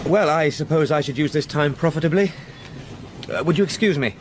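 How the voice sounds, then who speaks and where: A man's voice: on the high side, fairly quick, background noise, close to an everyday voice. Man acting a proper, courteous English solicitor, lightish voice. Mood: courteous, reserved. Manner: courteous, formal, well-spoken. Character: polite, proper London solicitor, Arthur Kipps. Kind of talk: period radio drama acting.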